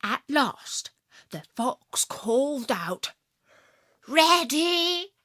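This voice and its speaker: A woman narrating a children's fairytale as its storyteller, with expressive, deliberate delivery. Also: medium pitch, dry recording, clean studio sound.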